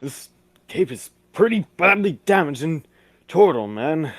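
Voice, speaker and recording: An actor playing a fussy, pompous old-fashioned gentleman sidekick in a 1940s-style radio play, with affected exclamations. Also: a little high, big pitch swings, dry recording, full character voice. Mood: flustered. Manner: affected, pompous, drawn-out delivery.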